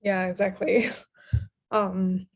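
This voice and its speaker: A woman's voice: mid-range voice, flat delivery, slow pace, vocal fry. A woman guest on a podcast, discussing literature casually in a thoughtful, agreeable manner.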